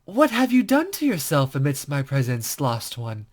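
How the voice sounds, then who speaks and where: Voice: mid-range voice, huge pitch swings, moderate pace. A game player voicing a mysterious, playful woman from a visual novel, with teasing, archaic phrasing. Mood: enigmatic. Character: mysterious soothing woman, playful, enigmatic, selfishly impatient. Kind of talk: visual novel dialogue read aloud.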